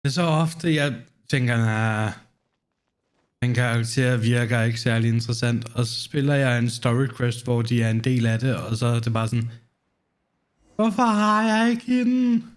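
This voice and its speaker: A man's voice: medium pitch, moderate pace, clean tone. A man who is a native Danish speaker, giving casual commentary as a game streamer.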